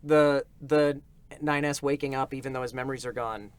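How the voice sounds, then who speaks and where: A man in a casual panel chat. His pitch sits in the middle. He talks as a voice actor taking questions on a livestream. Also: steady tone, normal speed, clear, resonant tone.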